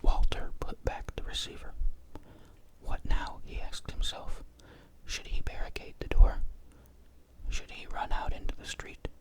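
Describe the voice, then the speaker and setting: A narrator reading a suspense story aloud in a soft, steady whisper. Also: unhurried. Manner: soft, hushed whisper throughout.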